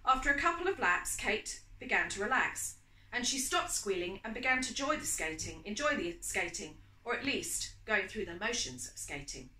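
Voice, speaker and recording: A woman's voice: mid-range voice, sing-song, moderate pace, bright, forceful tone, roomy sound, rough recording. A woman author reading romantic fiction aloud in a storytelling style. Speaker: author reading from own novel.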